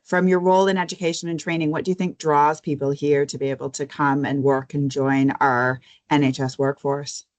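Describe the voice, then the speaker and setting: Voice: mid-range voice, level tone, a little fast, hardly any pauses, no echo. A podcast host who moderates the interview, asking long, rambling questions and laughing often in a friendly way.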